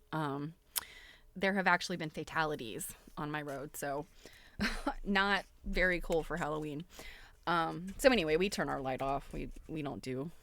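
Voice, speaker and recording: A woman's voice: fairly low pitch, a bit bright, no room sound. A middle-aged woman hosting a casual livestream, chatty and giggly, often breaking into exclamations. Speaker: livestream host.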